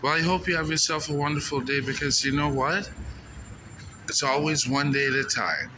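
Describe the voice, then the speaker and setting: A man's voice: on the high side, moderate pace. A man doing informal street interviews and commentary in an earnest, repetitive, plain-spoken way. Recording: noisy outdoor street recording with traffic.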